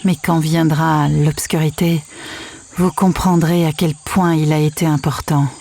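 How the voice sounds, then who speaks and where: Audio drama oracle: otherworldly, ominous, solemn prophetic delivery, creaky texture amid chirring noise. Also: medium pitch, little pitch movement, measured pace. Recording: noisy bed with clicks and chirring.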